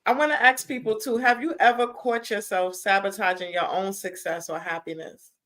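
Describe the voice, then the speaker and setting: A woman's voice: medium pitch, fairly even intonation, moderate pace, a bit bright. Encouraging woman hosting a panel. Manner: encouraging, laughs along. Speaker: host. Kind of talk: hosting a panel talk show.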